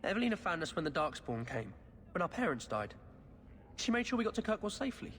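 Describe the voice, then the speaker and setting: A man's voice: high voice, sing-song, fast, a bit bright, slight background noise. A man playing a defiant, earnest young orphan boy in a fantasy game. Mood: defiant, pleading. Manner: earnest, defensive. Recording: long reverb, clear background ambience.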